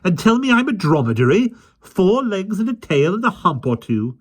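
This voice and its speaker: A haughty, easily offended nanny with a starchy, clipped delivery, put on by a man reading aloud. Her voice sits noticeably higher than the reader's own deep tone and turns sharp with indignation. She suits a storybook. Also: wildly swooping pitch, unhurried, husky, exaggerated voice. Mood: wrathful. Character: stern, prim, easily offended female nanny.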